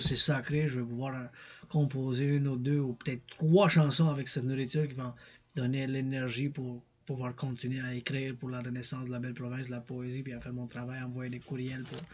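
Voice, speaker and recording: A middle-aged man with a Québécois French accent, his voice a little husky. He drifts from point to point in a loose, casual film review, stopping to repeat words and fill pauses. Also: mid-range voice, little pitch movement, a little mellow. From Quebec. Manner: digressive, repeats words, many fillers. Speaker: vlogger reviewing films.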